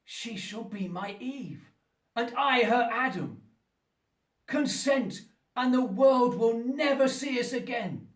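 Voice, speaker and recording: Wretched eloquent monster, very high voice, radio play, grandiloquent, roomy and distant. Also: male voice, expressive, exaggerated voice. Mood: solemn, demanding. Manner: slow, grandiloquent, pleading and menacing delivery. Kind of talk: theatrical radio play acting. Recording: roomy echo, distant from the mic.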